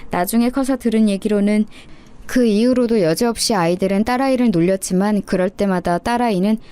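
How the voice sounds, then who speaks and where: A woman's voice: medium pitch, fairly quick, mellow, clear, resonant tone. A woman reading a scripted first-person story as a narrator in a radio-story style.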